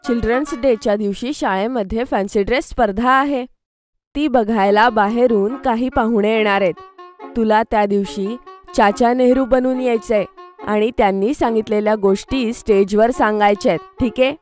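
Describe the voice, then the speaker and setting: Cartoon schoolteacher, woman performer, kindly yet directive, higher-than-average voice, quiet music behind. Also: moderate pace, natural voice. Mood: instructing. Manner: instructing. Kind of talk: children's cartoon story acting.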